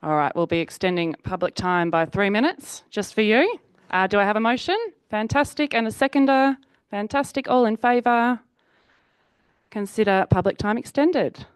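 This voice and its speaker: A woman chairing a council meeting, brisk and procedural, her voice slightly hoarse. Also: medium pitch, no echo. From Australia.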